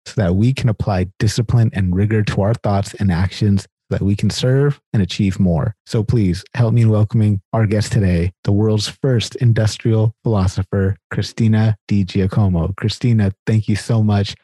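A man's voice: lowish voice, steady tone, moderate pace, few pauses, warm tone, slightly rough, no echo. A man hosting an interview podcast, talking in an enthusiastic, casual way with frequent restarts. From Canada. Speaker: podcast host.

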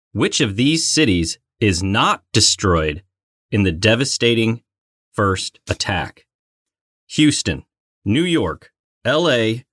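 A man's voice: fairly low pitch, fairly bright tone, no room sound, clean studio sound. A man co-hosting a casual trivia game, talking in a playful, exclamatory way. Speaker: co-host of a trivia game podcast.

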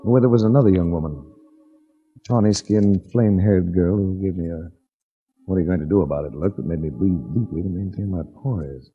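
A dull 1940s radio recording carries a low-voiced hard-boiled investigator. He is dry and measured, with a husky edge and a wisecracking manner. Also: soft background music. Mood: grim, measured narration. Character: wry hard-boiled investigator hero, smooth with women. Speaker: lead actor and narrator. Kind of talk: 1940s radio detective drama acting.

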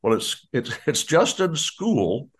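A man's voice: a little high, very animated, a little slow, breathy edge. An older American man, a radio play-by-play announcer, chatting about football in a rambling way, full of restarts and asides. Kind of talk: casual sports radio chat.

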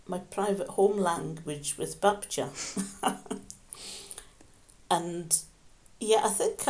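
An older woman, gently reminiscing in conversation and often restarting her sentences. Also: medium pitch. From Scotland. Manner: gentle, reflective, with frequent restarts. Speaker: interviewee, mother.

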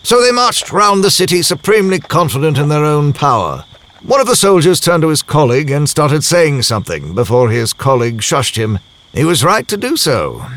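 An actor playing a worldly diplomat and spy who recounts events in a measured, dryly wry first-person audio drama narration. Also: male voice, fairly high pitch, very animated, fairly bright tone. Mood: wry, composed.

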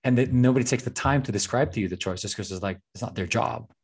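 A man's voice: medium pitch, fast talker, vocal fry, husky. A man from the Philippines, a podcast guest, talks about identity in an earnest, searching way and often repeats words as he gathers his thoughts. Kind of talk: reflective casual conversation.

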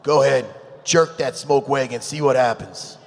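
A man with a New York accent, excitable and rambling in loud casual chat. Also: medium pitch, normal speed, creaky. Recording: long hall reverb.

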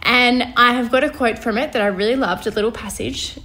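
A woman's voice: fairly high pitch, brisk, background noise. A young woman co-hosting a podcast in casual chat, talking chattily, laughing often and restarting her sentences. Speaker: podcast co-host.

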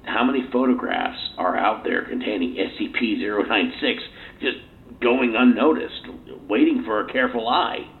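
An actor playing a grim, agitated scientist in an audio drama, explaining an incident with urgent emphasis. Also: male voice, fairly low pitch, normal speed, lightly acted. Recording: tinny interview tape with hiss.

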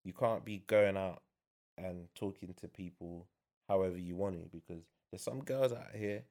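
A young man speaks in a very low, bassy voice. He talks things over slowly and thoughtfully, pausing on fillers as he chats as a guest. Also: darkish tone. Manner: reflective, with many fillers. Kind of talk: casual chat.

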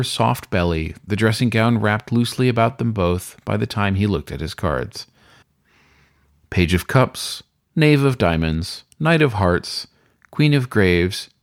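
A man's voice: medium pitch, gravelly, no echo, studio quality. A man narrating a scripted short story in a dramatic storytelling style.

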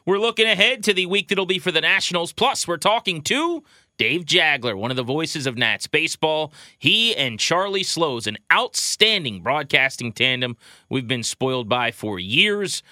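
A man hosting a sports podcast, talking baseball in an energetic show style. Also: a little high, normal speed, no echo, clean studio sound.

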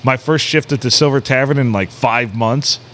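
A man's voice: mid-range voice, normal speed, a bit bright. A man co-hosting a casual podcast from a small tavern, sounding a bit nasal, joking, laughing and swearing freely. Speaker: podcast co-host. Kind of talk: casual bar podcast banter.

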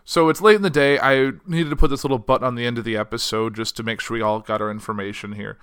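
A man's voice: medium pitch, steady tone, fast, fairly bright tone, clear, resonant tone. A young man hosting a solo news podcast, reading articles aloud and then chatting casually, his voice getting worn. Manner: reads quotes then chats casually with fillers. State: losing his voice.

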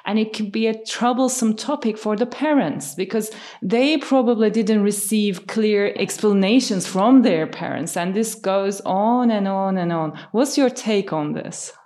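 Woman from Turkey, podcast co-host, calm considered delivery. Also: mid-range voice, measured pace, few pauses. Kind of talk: conversational podcast talk.

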